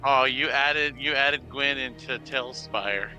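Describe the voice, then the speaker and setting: A man's voice: medium pitch, slow, pushed and bright, faint music behind, rough recording. A man chatting casually as a player at a tabletop game among friends. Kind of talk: casual chat.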